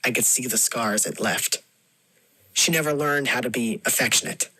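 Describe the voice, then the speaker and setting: A man plays a reflective male storyteller recalling his past in a scripted monologue. His voice is bright and forward, the delivery measured and wistful. The recording is dry, with no room sound. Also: medium pitch, breathy edge, no echo, poor audio. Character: reflective grown storyteller recalling a lonely childhood. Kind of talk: scripted first-person narrative monologue.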